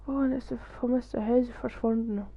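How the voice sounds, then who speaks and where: A woman's voice: on the high side, fairly even intonation, brisk, husky. A woman giving casual gameplay commentary, muttering and reading prompts aloud. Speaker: gamer. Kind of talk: casual game playthrough commentary. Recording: dull lo-fi microphone, faint game music.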